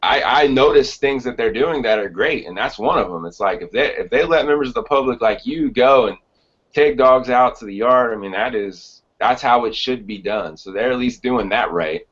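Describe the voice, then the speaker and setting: A man with a mid-pitched voice talks conversationally as the host of a casual interview podcast. The audio is a little dull and lo-fi. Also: normal speed, fairly bright tone, breathy edge.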